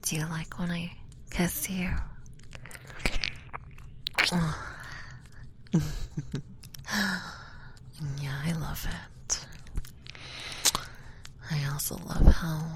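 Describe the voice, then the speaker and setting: A woman in an intimate audio roleplay, speaking in a soft, breathy whisper that stays slow and close. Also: lowish voice. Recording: steady background hiss.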